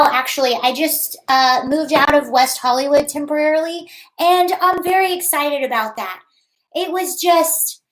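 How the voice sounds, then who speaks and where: High-pitched chatty woman livestreaming. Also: fairly bright tone, echoey room, low-quality recording. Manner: chatty, rambling, greets viewers by name. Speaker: livestream host. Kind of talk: casual livestream chat.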